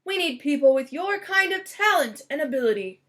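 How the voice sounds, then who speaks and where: A woman voicing a pompous, grateful storybook gentleman making a formal, declamatory speech. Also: high voice, big pitch swings, a little fast. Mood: magnanimous.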